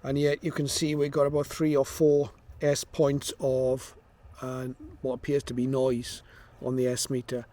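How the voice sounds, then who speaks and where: A man's voice: mid-range voice, completely flat delivery, a little slow, hardly any pauses, warm tone. A man giving an informal, matter-of-fact product demonstration, explaining things as he goes. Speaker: presenter of a radio receiver review.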